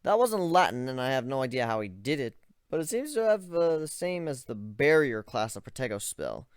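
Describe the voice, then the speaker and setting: A man giving a dramatic first-person reading of a story, expressive and close to the mic. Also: mid-range voice, a little fast, clean tone, no echo. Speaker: story reader.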